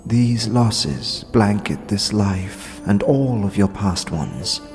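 An actor playing a gentle, solemn otherworldly tattooist creature in an audio drama, speaking gravely and with measured reverence. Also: male voice, fairly low pitch, expressive, big-room sound. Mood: grave, admiring. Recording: music behind the voice.

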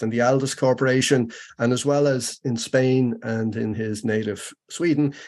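A man hosting an interview show, reading a measured introduction and asking questions. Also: medium pitch, darkish tone. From Ireland. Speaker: podcast host.

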